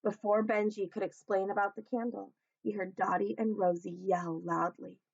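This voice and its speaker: A woman narrating a children's storybook aloud as a teacher would, in a measured, expressive storytelling manner. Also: mid-range voice, gravelly, no echo, highs cut off.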